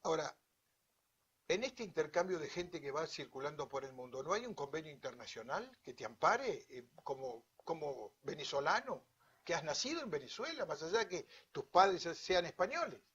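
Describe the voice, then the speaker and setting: Husky Argentine man hosting an interview show. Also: a little high, sing-song, moderate pace, fairly bright tone. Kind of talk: broadcast greeting and interview. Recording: dull, lo-fi audio.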